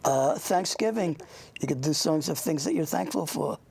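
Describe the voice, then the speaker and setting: Older host, raspy and gravelly, informal interview style, meandering and anecdotal. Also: medium pitch, lively intonation, a little mellow. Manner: rambling, halting, anecdotal. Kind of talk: informal interview with a child guest.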